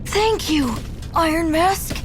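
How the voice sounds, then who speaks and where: A woman's voice: very high-pitched, lively intonation, slow, noisy background, full character voice. A woman voicing a sweet, anxious young child in a video game, earnest and concerned. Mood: worried, grateful. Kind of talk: dramatic video game voice acting.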